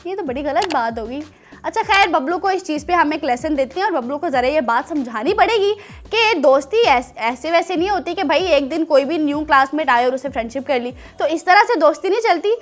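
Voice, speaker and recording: A woman presenting a children's show in a chatty, teasing, animated way, talking to children and telling stories. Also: sits high.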